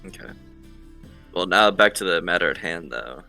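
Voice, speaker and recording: Low, gravelly man at a game table. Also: expressive, moderate pace, sharp tone, soft background music. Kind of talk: casual in-game discussion.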